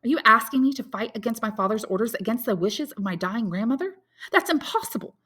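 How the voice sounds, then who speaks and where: A dutiful young heroine of a classic novel as a woman narrator acts her out, pitched high and full of emotion. She implores and pleads like someone torn between love and obedience. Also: lively intonation, quick pace, pushed and bright, slightly rough, exaggerated voice. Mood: shocked, anguished. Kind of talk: dramatic audiobook character reading.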